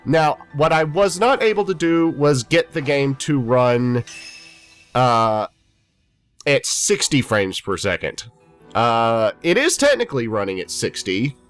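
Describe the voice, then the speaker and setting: A young man in his twenties with a stuffy, congested voice rambles through let's-play commentary. His pitch bounces around animatedly as he chats. Faint game music sits underneath. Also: sing-song, a little slow, fairly bright tone. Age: 20s. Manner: rambling, chatty, self-deprecating. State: stuffy from a head cold. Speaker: gaming YouTuber.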